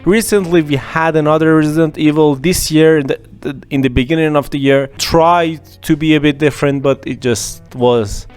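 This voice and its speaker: A man hosting a podcast and giving his casual, opinionated views, animated, with pauses and restarts. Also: on the high side, clear, resonant tone, faint music behind. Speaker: podcast host.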